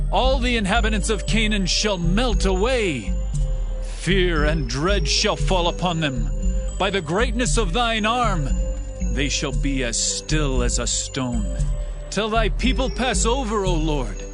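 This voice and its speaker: A devout leader of his people declaims praise in an audio scripture drama. The delivery is very slow and solemn, set high for a man, with prominent music behind the reverent words.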